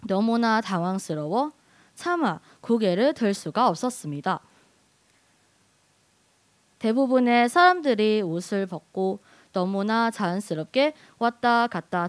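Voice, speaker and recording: A woman's voice: medium pitch, no room sound. A woman giving a prepared speech in a learned language, telling a personal story expressively. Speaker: speech contestant.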